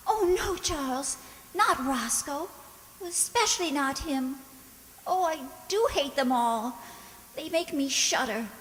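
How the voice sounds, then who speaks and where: A woman playing a frightened, naive young maid in a radio drama, speaking gently and hesitantly. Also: high voice, lively intonation, takes it slowly, long reverb tail. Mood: distressed. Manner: hesitant, timid, repeats words.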